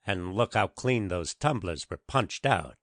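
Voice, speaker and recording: Deep-voiced shrewd police detective played by a man. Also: lively intonation, a little slow, a bit bright, no room sound, studio quality, full character voice. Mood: assured, determined. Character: shrewd, confident veteran male police detective. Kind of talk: dramatic audiobook acting.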